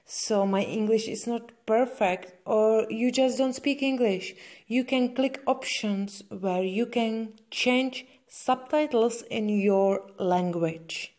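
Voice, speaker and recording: A woman's voice: fairly high pitch, takes it slowly, darkish tone. A woman with a Czech accent, a native Czech speaker, giving step-by-step craft instructions in simple, slightly hesitant non-native phrasing. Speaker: crochet tutorial host. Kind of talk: spoken step-by-step craft tutorial.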